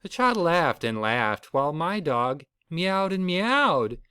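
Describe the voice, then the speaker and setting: A man's voice: a little high, very animated, slow, bright, forceful tone, clear, resonant tone, no room sound, clean studio sound. A man reading a children's storybook aloud as the storyteller.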